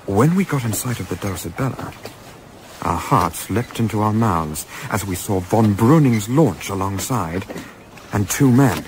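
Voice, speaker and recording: An actor playing an observant, composed gentleman adventurer in a radio play, speaking in a measured, articulate, understated way. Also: fairly low pitch, animated, a little hiss or hum, rough recording. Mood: tense recounting. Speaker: lead actor narrating and playing a part. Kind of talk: radio play narration and dialogue.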